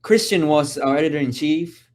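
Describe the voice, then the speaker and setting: A man's voice: on the high side, moderate pace. A man in his twenties from Malaysia, a guest on a podcast, talking casually and repeating words as he searches for them. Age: 20s. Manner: relaxed, chatty, repeats words when searching. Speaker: guest, sports photographer. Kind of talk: casual conversation.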